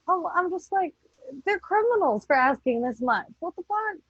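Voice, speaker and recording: A woman's voice: very high voice, very animated, moderate pace, fairly bright tone, dry recording. A woman in casual podcast chat, talking candidly and informally with some casual swearing. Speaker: podcast cast member. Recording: dull lo-fi audio.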